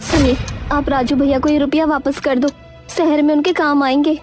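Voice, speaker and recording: High-voiced, dutiful young village wife played by a woman. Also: flat delivery, moderate pace, faint music behind, close to an everyday voice. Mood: earnest. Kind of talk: Hindi children's cartoon voice acting.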